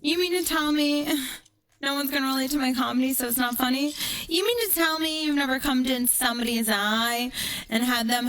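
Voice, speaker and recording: A woman's voice: high-pitched, fairly bright tone, rough recording. A woman streamer chatting casually and ranting in a brash, profane, sarcastic way. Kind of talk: casual livestream chat with ranting storytelling.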